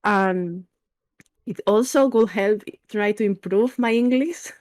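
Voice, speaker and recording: A woman's voice: medium pitch, slow, a little mellow. A woman from Spain with a Spanish accent, speaking English as a second language. She is a friendly guest who laughs easily and sometimes searches for words. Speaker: guest, VTuber artist. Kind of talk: casual interview chat.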